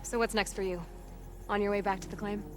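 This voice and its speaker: An actress playing a capable, modest young adventurer in a video game, speaking in a direct, understated way. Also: female voice, medium pitch, flat delivery, fairly quick, over music, lightly acted. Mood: modest, friendly. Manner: plainspoken, understated. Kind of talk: video game character acting.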